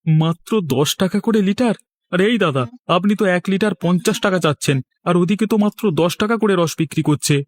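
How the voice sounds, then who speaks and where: A man's voice: high-pitched, brisk. A man voicing a skeptical, bargain-hunting market customer in a cartoon, with a dismissive, haggling manner. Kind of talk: cartoon voice acting.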